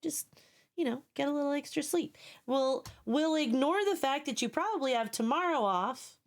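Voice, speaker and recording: A woman's voice: high-pitched, animated, moderate pace. A young woman streamer chatting casually with viewers, blunt and sarcastic, swearing freely, her voice scratchy and sleepy.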